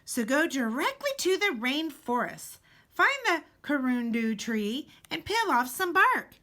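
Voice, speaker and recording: Animated, high-voiced woman reading a storybook. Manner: deliberate, dramatic emphasis and pauses. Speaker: teacher reading a picture book aloud. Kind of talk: expressive children's storybook read-aloud.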